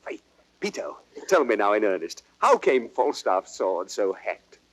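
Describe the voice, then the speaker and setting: Lively, mocking young prince, swooping pitch. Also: male voice, measured pace, exaggerated voice. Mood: playful, teasing. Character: jovial, mocking young prince, heir to the throne. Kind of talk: 1930s radio Shakespeare acting.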